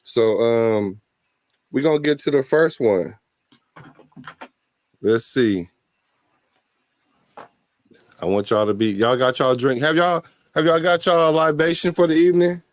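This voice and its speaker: A man hosting a casual late-night chat, laid-back and joking in a relaxed, slangy way. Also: mid-range voice, a little slow, no room sound. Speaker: host.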